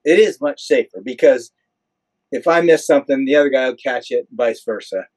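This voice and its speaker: A man's voice: medium pitch, fairly quick, a little mellow. An older American man, an airline pilot being interviewed, explaining things in a conversational, matter-of-fact way. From United States.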